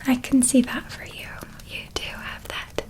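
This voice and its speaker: A woman voicing a gentle, doting witch in a soft, breathy ASMR roleplay whisper, tender and affectionate. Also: lightly acted. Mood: warmly excited. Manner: slow, tender, affectionate, lingering on words. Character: gentle, doting older witch woman helping with school shopping.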